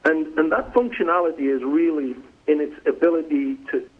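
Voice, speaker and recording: Middle-aged English man, business guest on a phone interview, husky voice, tinny phone line, stumbles and repeats words. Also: a little high, a little fast. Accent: northeast English, softened by years in the US. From northeast England. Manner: conversational, repeats words when starting sentences. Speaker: flooring company president, guest.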